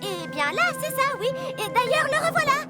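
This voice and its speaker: A woman's voice: very high voice, very animated, moderate pace. A woman voicing an eager young girl in a cartoon dub. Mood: evasive. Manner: eager, then evasive. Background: music.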